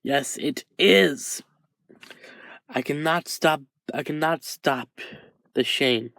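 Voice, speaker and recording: A man voicing a guilt-ridden young man who blames himself, pleading, with his pitch climbing as his voice breaks. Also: slow pace, sharp tone, no room sound. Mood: anguished.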